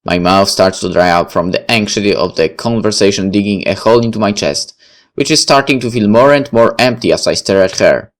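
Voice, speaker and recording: A man's voice: fairly low pitch, brisk. A man narrating a visual novel as he plays, reading the story aloud.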